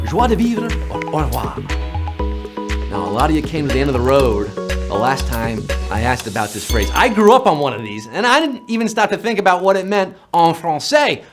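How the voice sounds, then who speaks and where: A man hosting a live trivia game show, reading questions and joking playfully between answers. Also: high voice. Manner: playful, punning, fast-patter quiz patter. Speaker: quiz show host.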